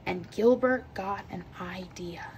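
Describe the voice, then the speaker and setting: A woman reading a children's picture book aloud with expressive, deliberate storytelling delivery. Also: mid-range voice, vocal fry, dull audio, background noise. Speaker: read-aloud teacher.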